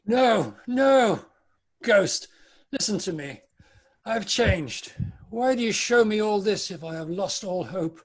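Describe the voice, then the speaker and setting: A man's voice: high voice, animated, slow, full character voice. A British man playing an anguished, repentant old miser in a theatrical, pleading dramatic table read.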